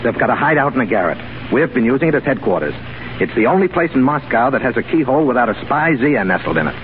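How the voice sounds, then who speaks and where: An actor playing a brisk, jovial conspirator in a 1930s radio drama. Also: male voice, medium pitch, very animated, close to an everyday voice. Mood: urgent, conspiratorial. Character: brisk, jovial, conspiratorial foreigner in Moscow, male. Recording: tinny, hissy 1930s radio broadcast.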